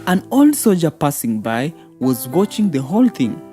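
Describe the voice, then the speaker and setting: A woman's voice: sits low, sing-song, normal speed. A woman narrating a scripted fairy tale for children as a storyteller. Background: soft background music.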